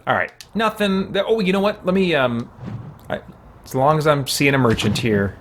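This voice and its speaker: A man's voice: a little high, lively intonation, moderate pace, a bit bright, a little hiss or hum. A man giving casual gameplay commentary as he plays, musing aloud. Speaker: gamer, let's play host.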